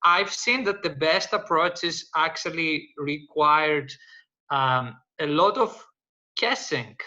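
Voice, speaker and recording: A man from Greece, speaking as a data science panelist, halting with many fillers and restarts. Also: on the high side, sharp tone, highs cut off. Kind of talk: panel discussion, unscripted explanation.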